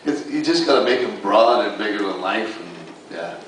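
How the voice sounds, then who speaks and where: A man's voice: fairly low pitch, animated, a little fast, a bit bright. A man, a voice actor on a panel, chatting casually with enthusiasm and praise for colleagues. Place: large convention room with audience. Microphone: far camera in the audience. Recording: echoey hall, distant camera, noisy crowd.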